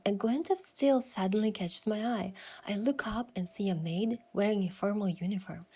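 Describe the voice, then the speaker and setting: A woman's voice: medium pitch, a little mellow. A woman giving scripted first-person narration of an adventure story as its protagonist.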